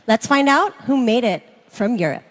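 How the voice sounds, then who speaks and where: A woman announcing as host of a live show, emphatic and pausing for drama. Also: fairly high pitch, expressive, long reverb tail. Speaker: pageant host. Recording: music playing behind the voice. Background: music.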